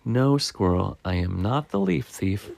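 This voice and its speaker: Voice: sits low, sing-song, a little slow, gravelly, darkish tone, no room sound, heavily acted. A reader voicing a sensible, patient bird from a picture book, reassuring and explanatory. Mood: patient, explaining. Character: sensible, patient bird calming a worried friend. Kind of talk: picture-book read-aloud.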